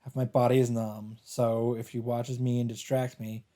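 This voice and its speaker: A man's voice: medium pitch, flat delivery, normal speed, mellow, slight background noise. A man hosting a let's play, reading visual novel narration aloud. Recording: game music faintly behind. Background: game music.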